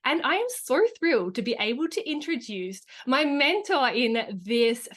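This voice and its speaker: A woman's voice: high voice, very animated, moderate pace, a bit bright. A woman hosting an interview, enthusiastic and chatty, with many casual likes. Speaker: host. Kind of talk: interview host.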